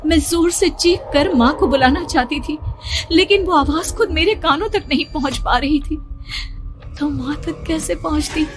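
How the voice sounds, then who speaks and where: A woman narrating a horror story in the first person, with a suspenseful, drawn-out and expressive delivery. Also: high-pitched, noisy background. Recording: music behind the voice. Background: background music.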